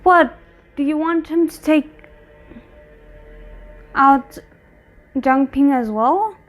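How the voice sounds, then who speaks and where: A woman giving casual, expressive reaction commentary on a drama, thinking aloud as she goes. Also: high voice, drawn-out words, soft background music. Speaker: reaction video host.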